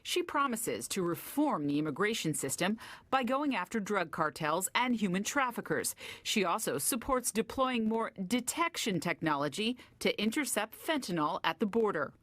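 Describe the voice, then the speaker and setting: A woman reporting for local TV news, delivering her story in a brisk, conversational way. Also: medium pitch, big pitch swings, a bit bright.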